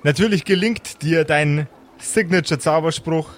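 A man narrating an improvised tabletop game as game master, dramatically, with measured and suspenseful pauses. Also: fairly high pitch.

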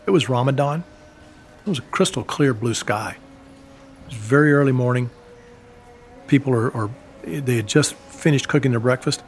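A man at a middle pitch recalls a tense operation in a measured, storytelling way, pausing now and then on fillers. A faint music bed plays underneath him. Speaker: former embassy security agent. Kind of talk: recounting events in an interview.

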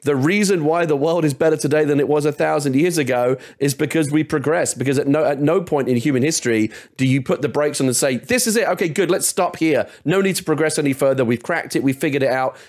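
A man's voice: a little high, fast talker, hardly any pauses. A man speaking as a podcast guest, emphatic and opinionated, stumbling into restarts in casual discussion. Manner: emphatic, stammering restarts when excited.

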